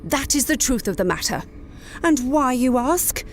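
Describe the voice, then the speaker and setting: An imperious wolf queen played by a woman gives orders with formal menace. The voice is on the high side and ruthless, over faint music in a sci-fi radio play. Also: lively intonation, normal speed. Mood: accusing. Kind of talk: sci-fi audio drama acting.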